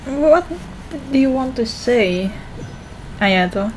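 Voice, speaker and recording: Woman gamer, slightly high voice. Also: lively intonation, slow, noisy background. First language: Indonesian. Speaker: game streamer. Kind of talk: casual gameplay commentary, reading choices aloud.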